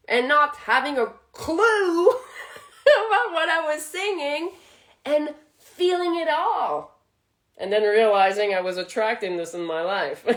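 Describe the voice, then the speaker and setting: A woman's voice: a little high, fairly bright tone. A woman whose first language is French, hosting a spiritual channel and talking casually, reflective and laughing often.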